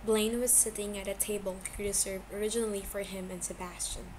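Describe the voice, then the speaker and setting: Woman reading fiction, clearly noisy recording. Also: medium pitch, steady tone, fairly quick. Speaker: amateur audiobook reader. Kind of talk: scripted fiction narration.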